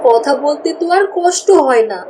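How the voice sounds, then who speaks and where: Cartoon village daughter, played by a woman, high childlike pleading voice, anxious, clear storm noise behind. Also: animated, moderate pace, dark tone. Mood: chatty. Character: anxious young village girl, chatty daughter.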